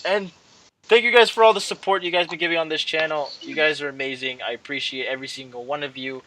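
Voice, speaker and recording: A man's voice: on the high side, fairly even intonation, fast talker, pushed and bright, slight background noise, low-quality recording. A young man hosting a gaming channel, chatting casually in a rambling, hesitant way that often repeats and trails off. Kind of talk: casual rambling video outro.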